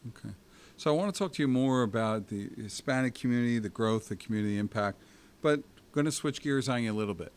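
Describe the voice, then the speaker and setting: A man's voice: mid-range voice, fast talker, clear, resonant tone. A middle-aged man hosting an interview, asking questions conversationally and often restarting them mid-sentence. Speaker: host.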